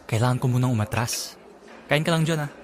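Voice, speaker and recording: A man's voice: mid-range voice, fairly quick, background noise, lightly acted. A man playing a polite, considerate young boyfriend in a casual Filipino audio drama. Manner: courteous, casual. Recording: music behind voice.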